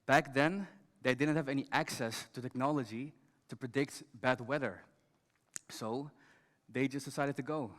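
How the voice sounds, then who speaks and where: Male education director, mid pitch, measured scripted storytelling, reverberant hall. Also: fairly bright tone. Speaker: education director and conference speaker. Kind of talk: storytelling talk on stage. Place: large conference hall.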